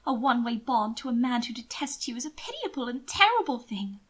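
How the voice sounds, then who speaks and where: Casting: female reader as a scheming young noblewoman, sugary and coaxing, high voice with sweeping melody, for storybook drama. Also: big pitch swings, a little fast, fairly bright tone, husky, full character voice. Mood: pitying, sweet. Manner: gushing, affected endearments, coaxing. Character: manipulative, doting upper-class young lady, twin sister. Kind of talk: audiobook character acting.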